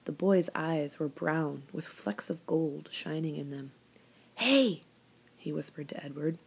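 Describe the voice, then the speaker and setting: A woman narrates a children's story from the page. Her voice sits a little low. The reading is expressive, in the manner of a school read-aloud. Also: moderate pace, vocal fry, breathy edge. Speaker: storybook reader, school staff member.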